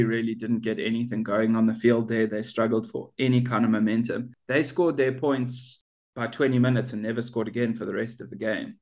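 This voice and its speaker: A man's voice: lowish voice, darkish tone. Bantering male sports podcast host. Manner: bantering, laughs at his own jokes. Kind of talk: casual sports chat.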